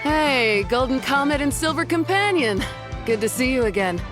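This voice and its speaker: A woman's voice: high-pitched, animated, moderate pace. A woman playing a friendly, confident young rival competitor in a video game. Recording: music behind voice.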